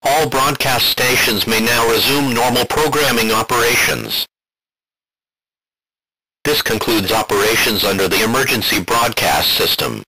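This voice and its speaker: A man's voice: medium pitch, unhurried, sharp tone, slight background noise, low-quality recording. A man reading a scripted emergency alert as a broadcast announcer, formal and impersonal.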